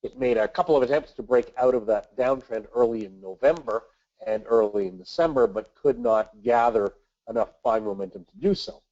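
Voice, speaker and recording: A man's voice: medium pitch, mellow, dull audio. Nasal man with a cold. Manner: measured, reads from notes with filler uhs. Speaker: webinar host, investment manager. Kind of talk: scripted market commentary webinar.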